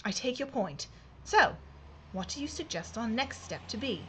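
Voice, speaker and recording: A woman's voice: on the high side, big pitch swings, moderate pace, husky, full character voice. An actress playing a haughty, playful noblewoman in an audio drama, with arch, aristocratic phrasing. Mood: poised. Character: haughty, witty English noblewoman with a playful streak.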